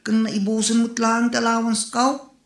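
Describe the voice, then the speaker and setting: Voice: mid-range voice, monotone, moderate pace, close to an everyday voice. A performer playing a pleading, cash-strapped schoolboy son in a comedy audio drama. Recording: echoey, roomy sound.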